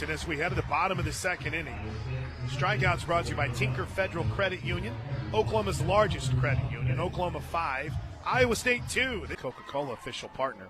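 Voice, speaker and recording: An American man doing live radio play-by-play of softball, chatting and joking between pitch calls from a ballpark booth. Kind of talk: live softball commentary with casual banter.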